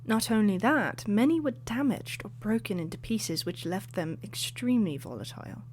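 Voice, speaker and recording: A woman voicing a female artifact historian and author who explains things in a measured, lecturing way. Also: medium pitch, expressive, darkish tone, slight background noise, lightly acted. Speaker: expert guest. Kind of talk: audio drama acting.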